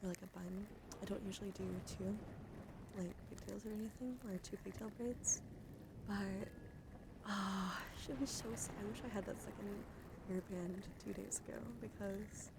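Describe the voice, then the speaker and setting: A woman hosts an ASMR livestream in a gentle, soothing murmur that often drops toward a whisper. Her voice is soft and noticeably creaky, with a coaxing, personal-attention delivery. Clear background noise sits behind her on the live recording. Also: medium pitch, fairly even intonation, moderate pace. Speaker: live streamer host. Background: background noise, dog outside.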